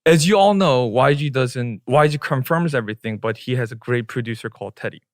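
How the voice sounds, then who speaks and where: A man's voice: mid-range voice, brisk, dry recording. Korean-speaking man explaining in dry English. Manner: explains at length, slipping into Korean phrases mid-sentence. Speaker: podcast co-host. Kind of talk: casual podcast discussion.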